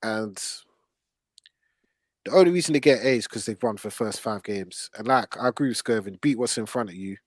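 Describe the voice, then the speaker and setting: A man's voice: fairly low pitch, fairly quick, dry recording. A man hosting a casual football discussion, blunt and opinionated and full of slang. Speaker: football podcast host.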